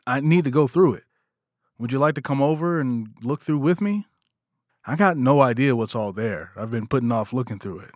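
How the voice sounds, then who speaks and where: An actor plays a considerate brother in an audio drama. His mid-pitched voice moves up and down a lot, with an earnest, tactful way of talking. The sound is close and dry. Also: no echo, natural voice. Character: kind, considerate brother, newly acquainted with his sister.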